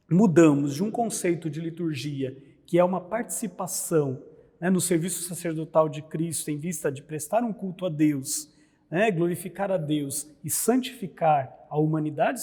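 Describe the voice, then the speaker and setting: Husky high-voiced priest in reverberant hall. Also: male voice, dark tone. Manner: earnest, lecturing, rhetorical questions. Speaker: priest, liturgy scholar. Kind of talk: explanatory religious talk.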